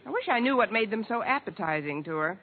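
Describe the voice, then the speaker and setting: A woman's voice: mid-range voice, lively intonation, fast talker, phone-quality sound, lightly acted. An actress playing a wry, wisecracking single woman in a mid-century radio sitcom, on an old recording. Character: wry single woman alone with her cat. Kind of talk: 1940s-50s radio sitcom acting and narration. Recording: noisy, band-limited old radio recording.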